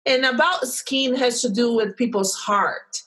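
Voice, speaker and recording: A woman hosts a podcast, talking at an unhurried, slow pace. Her delivery is gushing and enthusiastic, full of praise for her guest. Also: on the high side, a bit bright. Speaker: podcast host. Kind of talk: podcast interview.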